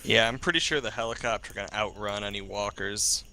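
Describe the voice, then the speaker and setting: A man with a low, fairly monotone voice and a sharp, forceful edge. He plays a role-play game, casually deciding plans with the other players. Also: fairly quick, slight background noise. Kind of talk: casual tactical discussion in a game.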